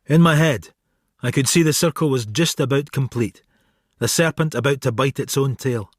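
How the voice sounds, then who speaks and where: A man's voice: mid-range voice, moderate pace, no echo, soft background music, close to an everyday voice. An actor playing a brooding, sardonic detective, narrating his case in a radio drama.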